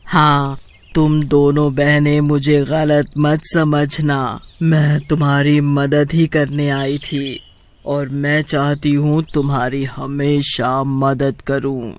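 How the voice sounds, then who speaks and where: A man voicing a kindly, helpful female witch in an animated horror story, with a slow, drawn-out delivery. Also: fairly high pitch. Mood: earnest, helpful.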